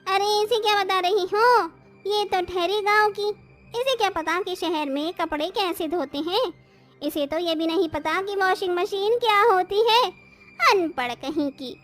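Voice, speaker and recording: Woman acting a very high-pitched, haughty sister-in-law. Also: a little slow, fairly bright tone, soft background music. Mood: scornful. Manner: sneering, bossy. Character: haughty scolding elder sisters-in-law in a household. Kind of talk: acted Hindi moral story dialogue.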